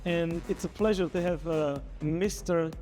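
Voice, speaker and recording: Male interviewer with a fairly high voice, effusive thanks and halting questions, faint music behind. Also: fairly even intonation, warm tone. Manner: friendly, repeats thanks, halting questions with you know. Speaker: festival interviewer. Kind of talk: festival interview.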